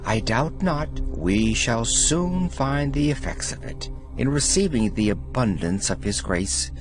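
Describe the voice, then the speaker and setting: A man reading devotional letters aloud as an audiobook narrator, in a measured, solemn manner. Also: medium pitch, animated, music in the background. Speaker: audiobook reader.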